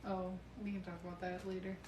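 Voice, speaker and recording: Brief: young woman, flat and low-key, quiet off-mic asides, echoey room with faint hum. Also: fast talker. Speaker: stream moderator, host's daughter. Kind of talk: casual aside to her mother off mic.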